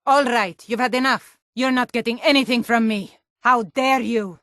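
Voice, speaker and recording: A stern Nord townswoman from a fantasy video game, acted by a woman, with a fairly high voice and a dry, close sound. She is blunt and challenging, quick to suspicion and temper, and stresses her words firmly. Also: fairly even intonation, fairly bright tone, dry recording, natural voice. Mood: angry, warning. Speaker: game character voice.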